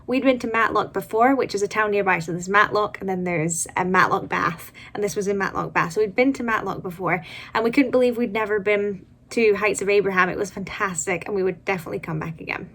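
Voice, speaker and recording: A woman's voice: mid-range voice, brisk, a bit bright. Chatty, enthusiastic woman vlogger. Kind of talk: casual travel vlog narration to viewers.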